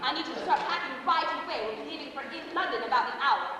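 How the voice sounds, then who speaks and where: An actress playing a glamorous, vain and excitable stage star in a comedy play, with a theatrical, effusive, cooing manner. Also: female voice, high-pitched, fast talker, highs cut off, some chatter behind. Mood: brisk, grand. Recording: very echoey hall, distant microphone, faint noise.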